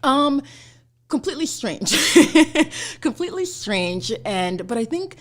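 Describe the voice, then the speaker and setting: A woman's voice: high-pitched, very animated, slow. A woman from Somalia, a guest on an interview podcast, talking casually with many "you know" fillers and easy laughter. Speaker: guest, immigration attorney.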